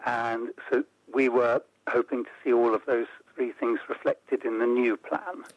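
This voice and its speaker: A man as an interview guest, explaining policy in a measured way and building points into lists. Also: medium pitch, level tone, no echo, lo-fi sound. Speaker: interview guest, report author. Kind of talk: radio interview.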